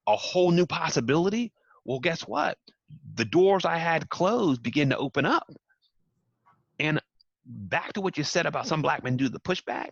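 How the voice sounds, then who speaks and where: A man's voice: medium pitch, husky, dry recording, muffled recording. A man speaking earnestly as an interview guest, building to emphatic repeated points. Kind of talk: reflective interview talk.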